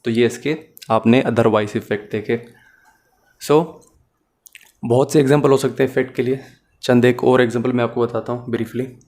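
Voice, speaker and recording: Male law tutor, level monotone delivery, methodical explainer who fills pauses with short "uh"s. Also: mid-range voice. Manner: explains step by step, mixes English terms into Hindi. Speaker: law teacher. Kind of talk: recorded law lecture.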